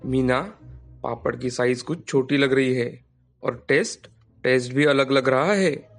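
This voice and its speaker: A man's voice: medium pitch, steady tone, measured pace, soft background music, lightly acted. A man playing a meek, good-natured young husband in a moral story, speaking in a mild, placating manner. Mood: mildly concerned. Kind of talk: animated moral story dialogue.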